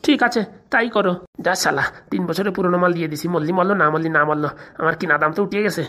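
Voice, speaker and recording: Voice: medium pitch, very fast, a bit bright, lightly acted. A performer voicing a sly, grumbling village shopkeeper in a comedy cartoon, chatty and muttering, with a rural Bengali dialect. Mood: chatty, insistent. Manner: chatty, muttering asides, cautioning.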